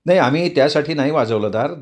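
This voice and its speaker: A man voicing a composed detective who speaks low and measured. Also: lively intonation, natural voice. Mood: calm. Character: calm male detective, steady and firm.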